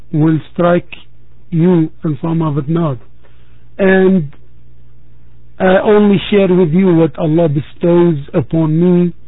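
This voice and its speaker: A man giving a religious talk to a congregation as preacher, measured and repeating phrases for emphasis. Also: on the high side, dry recording. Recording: tinny phone-like, dry sound.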